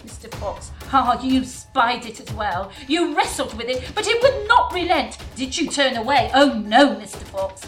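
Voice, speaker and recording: A woman playing a bold young gentlewoman in a folk tale, speaking in formal, theatrical, old-fashioned diction. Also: on the high side, very animated, moderate pace, few pauses, soft background music. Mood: horrified, accusing. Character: bold young gentlewoman bride recounting a sinister dream.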